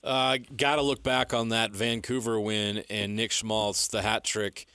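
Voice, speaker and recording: A man with a low voice co-hosting a radio show, putting relaxed questions to a guest. His voice sounds close and dry. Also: a little slow, smooth, no room sound. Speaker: radio co-host. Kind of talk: casual radio interview.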